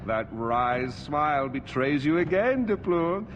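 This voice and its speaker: A man's voice: fairly high pitch, very animated, takes it slowly, lo-fi sound, background noise. A man playing a flamboyant, middle-aged tyrant in a video game cutscene, theatrically polite, mocking and volatile. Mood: amused, menacing. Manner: theatrical, affected politeness breaking into swearing outbursts.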